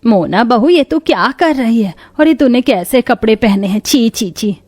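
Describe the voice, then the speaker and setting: A woman's voice: a little high, expressive, normal speed, a little mellow, faint music behind. A woman voicing a stubborn, fretful elderly mother-in-law in a cartoon story, given to scolding.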